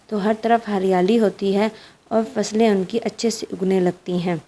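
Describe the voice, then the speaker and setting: A woman's voice: mid-range voice, dark tone. A woman narrating a scripted fairy tale for children as a storyteller.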